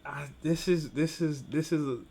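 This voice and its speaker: A man's voice: on the high side, moderate pace, gravelly, mellow, husky. A man hosting a reaction video, talking casually and directly to viewers in informal, slangy speech.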